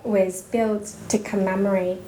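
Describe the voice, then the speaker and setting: A woman's voice: mid-range voice, level tone, a little slow. A woman from Indonesia, a researcher guest in a casual interview, speaking thoughtfully with many fillers and restarts. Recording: echoey room with noise.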